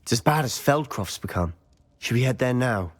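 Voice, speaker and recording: A man's voice: mid-range voice, expressive, moderate pace, natural voice. A man voicing a hot-headed, bitter teenage boy wizard in a video game. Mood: grave. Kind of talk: video game dialogue acting. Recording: long reverberant hall, music behind.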